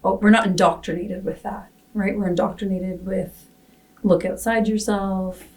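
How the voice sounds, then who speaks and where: A woman's voice: mid-range voice, steady tone, moderate pace. A woman hosting a casual podcast conversation, speaking in an affirming way and often checking for agreement. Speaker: podcast host. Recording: distant microphone.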